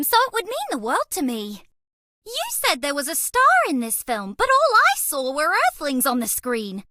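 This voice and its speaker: A woman's voice: very high-pitched, sharp tone, dry recording, clean studio sound, exaggerated voice. A woman voicing a bubbly, cheese-obsessed young alien rodent space traveler in a video game, with a perky, theatrical delivery. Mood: gushing. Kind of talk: animated game character voice lines.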